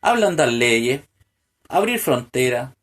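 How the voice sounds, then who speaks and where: A man's voice: medium pitch, very animated, slow. A man delivering a solo political podcast monologue, full of hesitant fillers and restarts, building to pointed rhetorical questions. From Chile. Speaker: podcast host.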